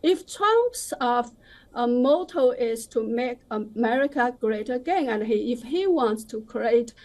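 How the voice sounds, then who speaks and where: A woman speaking as a commentator in a live news interview. Her voice sits somewhat high, and she talks slowly, with halting phrasing and firm opinions. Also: expressive, darkish tone. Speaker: interview guest, commentator on China.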